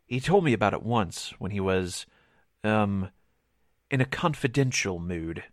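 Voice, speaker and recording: An actor playing a hesitant, nervous witness in a 1940s-style radio drama, halting and hedging as he confides. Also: lowish voice, lively intonation, dry recording, clean studio sound. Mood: confiding.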